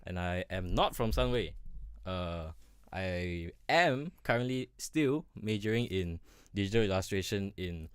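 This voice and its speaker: Young guy on campus radio, dry playful humor, lowish voice, really dry close sound. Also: expressive, unhurried, clear, resonant tone, dry recording. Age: 20s. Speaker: guest. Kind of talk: casual radio interview. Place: radio studio.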